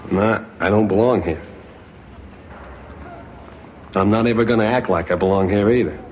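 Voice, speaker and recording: An actor playing a wry, stubborn convict pianist in a naturalistic television drama. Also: male voice, on the low side, fast, a little mellow, a little hiss or hum, natural voice. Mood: defiant. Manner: sardonic, stubborn. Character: defiant, wry male convict pianist, wrongly imprisoned.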